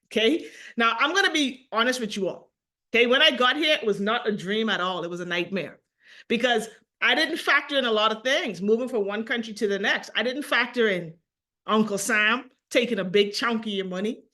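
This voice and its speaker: A woman with a Bahamian accent, giving an energetic, persuasive sales pitch on a video call and asking her audience direct questions. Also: brisk. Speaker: sales presenter.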